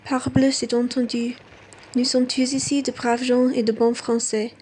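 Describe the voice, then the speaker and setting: A woman plays a respectable, smug bourgeois gentleman in a radio play. The voice is fairly high and breathy-rough, with little melody and measured formality. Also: level tone. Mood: self-assured. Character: smug, respectable, well-mannered male bourgeois traveller. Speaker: student actor. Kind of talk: radio play acting in French.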